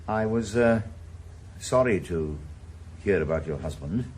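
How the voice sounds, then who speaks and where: A down-at-heel retired policeman now working as a private eye in a radio play. His voice sits low but moves around a lot, and he talks in a dry, laconic way. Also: expressive, a little slow, a little mellow, a little hiss or hum. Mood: probing. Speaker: private investigator. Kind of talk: 1980s radio drama acting.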